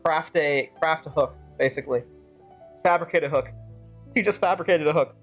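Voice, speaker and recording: A woman's voice: low voice, normal speed, soft background music. A woman streaming a game, giving casual, wry and sardonic live commentary.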